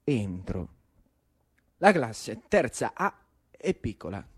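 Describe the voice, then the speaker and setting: A man's voice: lowish voice, very animated, slow pace, slightly rough. A man with a Roman Italian accent performing a theatrical radio monologue in colloquial speech, with rhythmic repetition. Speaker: actor-storyteller.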